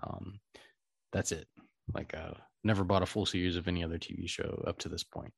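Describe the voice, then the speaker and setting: A man with a fairly low voice chats as a podcast host. His delivery is casual and meandering, with hesitant searching for words. The sound is dry, with little room in it. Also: flat delivery, a little fast, vocal fry, breathy edge, no room sound. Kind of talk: casual chat.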